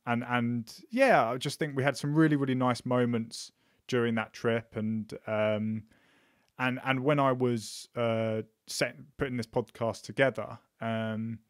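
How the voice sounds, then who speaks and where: A man hosting a podcast, talking in a relaxed, hesitant way with many ums and restarts. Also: mid-range voice, dry recording, studio quality. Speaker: podcast host. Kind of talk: casual conversational interview.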